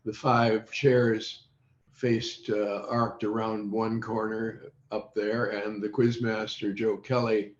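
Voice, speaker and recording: An older man with a lowish voice recalls the past at a very unhurried, halting pace. He often stops mid-sentence as he searches through memories. The sound is dull and echoes around the room, as on a video call. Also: level tone. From United States, Midwest. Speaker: interview guest recalling radio childhood. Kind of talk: unscripted reminiscence in an interview.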